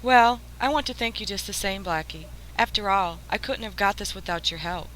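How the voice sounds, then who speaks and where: Woman as a bright-voiced flirtatious schemer. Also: medium pitch, normal speed, lightly acted. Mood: sweetly coaxing. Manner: coaxing, gushing. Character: flirtatious, scheming female crook, gushing sweetheart. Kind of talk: 1940s radio crime drama acting. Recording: faintly noisy radio drama recording.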